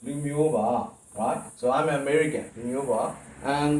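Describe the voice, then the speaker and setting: A man's voice: on the high side, very fast, a little mellow. A man whose first language is Burmese, teaching it as a language lesson and explaining patiently, often checking in with "right?". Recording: echoey room, mic at a distance, faint noise.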